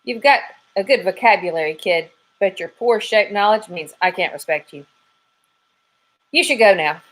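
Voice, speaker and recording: A woman voicing a tough, no-nonsense female chick detective who talks in a brisk, blunt way. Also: mid-range voice, fairly bright tone, lightly acted, poor audio. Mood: impatient.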